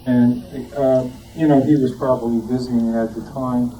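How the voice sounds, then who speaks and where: A man's voice: mid-range voice, steady tone, normal speed. A man giving an informal show-and-tell talk to a club, hesitant and often searching for words. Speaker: club member presenting collection. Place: club meeting room with audience. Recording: distant muffled room mic, background noise.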